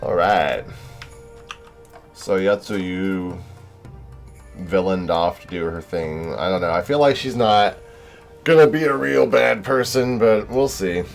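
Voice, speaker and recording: A man's voice: low-pitched, takes it slowly, gravelly, pauses often, faint music behind. A young man chatting casually and in friendly slang as he livestreams a game, with an easygoing manner. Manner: laid-back, friendly slang. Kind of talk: casual chat while playing.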